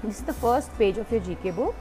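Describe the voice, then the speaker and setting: A woman's voice: mid-range voice, expressive, fast talker, music in the background. A woman teaching young children in an online class. She reads from a textbook and explains it patiently, step by step.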